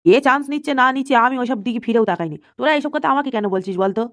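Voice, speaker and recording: A woman's voice: on the high side, expressive, racing. A woman voicing a self-pitying, testy old village man who grumbles repetitively in a comedy cartoon, with faint noise. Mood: defensive. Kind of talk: comic cartoon dialogue.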